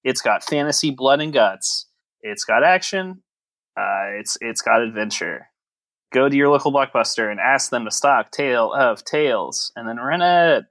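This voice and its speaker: A man with a medium-pitched voice co-hosts a casual podcast. He teases and jokes sarcastically, often with mock grandeur. The sound is dry, with no room echo. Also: lively intonation, moderate pace, fairly bright tone. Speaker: podcast co-host. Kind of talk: casual joking podcast chat. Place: recorded remotely over a voice chat app.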